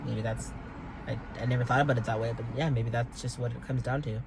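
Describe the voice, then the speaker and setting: A man's voice: mid-range voice, steady tone, fast talker. Hesitant young man rambling in a car. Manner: hesitant, rambling, many fillers, casual swearing. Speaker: vlogger. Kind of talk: rambling personal vlog. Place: inside a car. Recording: car noise in background.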